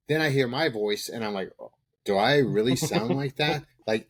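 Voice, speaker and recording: A man co-hosting a casual podcast chat, talking quickly and candidly with casual swearing. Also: lowish voice, fairly bright tone. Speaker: podcast co-host.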